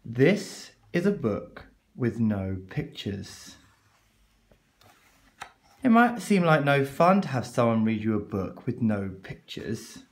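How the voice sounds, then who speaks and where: A man's voice: mid-range voice, very animated, slow. A man reading a children's book aloud in a theatrical, exaggerated, mock-exasperated way. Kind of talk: animated children's story read-aloud. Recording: echoey room.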